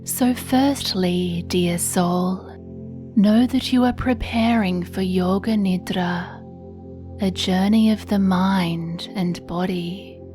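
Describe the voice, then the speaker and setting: A woman leads a scripted sleep meditation very slowly, leaving long pauses between phrases. Her voice is mellow and relaxed, soothing and hushed, with a breathy edge. Faint music plays behind her. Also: medium pitch. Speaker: meditation guide.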